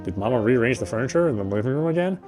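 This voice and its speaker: A man's voice: mid-range voice, expressive, racing, darkish tone, soft background music. A man gaming and reading a visual novel's narration aloud, with casual asides. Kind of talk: let's play read-aloud with casual asides.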